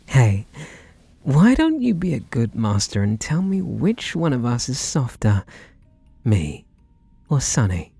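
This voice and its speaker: A man's voice: mid-range voice, huge pitch swings, takes it slowly, creaky, pauses often, mellow, slightly rough, no room sound, soft background music, full character voice. A man playing a possessive, devoted butler. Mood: teasing. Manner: intimate, possessive, teasing. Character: obsessive devoted young male butler. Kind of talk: roleplay audio drama to listener.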